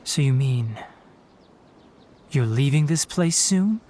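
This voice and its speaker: A man's voice: medium pitch, animated, takes it slowly, dark tone, slight background noise. A young man playing a stern, principled scholar and healer in a video game, formal and blunt. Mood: guarded. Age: young adult. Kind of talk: video game character acting.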